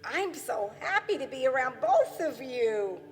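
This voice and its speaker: Woman acting a high-pitched, excitable wounded housewife. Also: unhurried. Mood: excited, envious. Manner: gushing, exclamatory. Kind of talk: theatrical radio play acting. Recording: long hall reverb with music behind.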